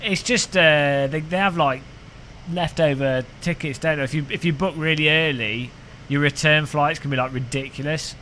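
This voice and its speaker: A man's voice: fairly high pitch, normal speed, bright, forceful tone, a little hiss or hum. A man, chatting casually on a sim racing live stream, rambling and joking with his chat. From United Kingdom. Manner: rambling, joking, frequent restarts.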